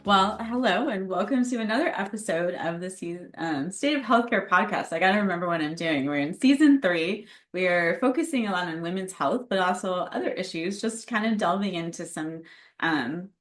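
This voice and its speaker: A woman's voice: on the low side, moderate pace, faint music behind. A woman hosting a podcast, introducing the show and her guest in a chatty, self-correcting, encouraging way. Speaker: podcast host.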